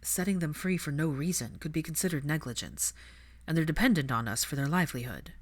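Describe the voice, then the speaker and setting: Female reader as young male aristocrat, low pitch, dry, measured, patient, wry, audiobook fiction. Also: animated. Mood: calm, matter-of-fact. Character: patient, wry, aristocratic young father, liberal yet rigid.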